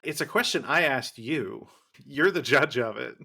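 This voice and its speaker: Gravelly, bright-voiced male podcast host. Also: medium pitch. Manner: rambling, teasing, quick to joke. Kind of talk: casual podcast conversation.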